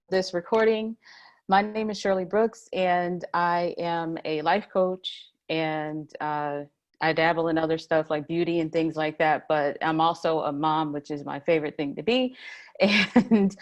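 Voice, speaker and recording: A woman's voice: lowish voice, lo-fi sound. A woman life coach hosting a casual video interview, chatty and upbeat.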